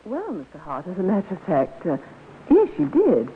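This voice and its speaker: An actress playing an anxious, insistent, well-bred English hotel guest in a 1950s radio drama. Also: female voice, fairly low pitch, wildly swooping pitch, moderate pace. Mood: concerned. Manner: genteel, fretful, insistent. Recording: tinny, noisy old radio recording.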